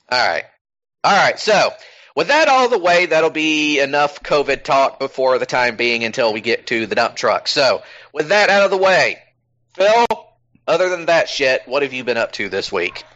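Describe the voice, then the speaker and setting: A man hosts a loose podcast chat at an unhurried pace, his voice bright and forceful. He rambles and swears freely, and the recording sounds slightly dull and lo-fi. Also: mid-range voice. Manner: profane, rambling, many fillers. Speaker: podcast host.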